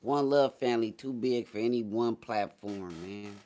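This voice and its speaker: A man's voice: mid-range voice, normal speed, darkish tone. A man hosting a casual live stream, chatting with viewers in a slangy, boastful, teasing way.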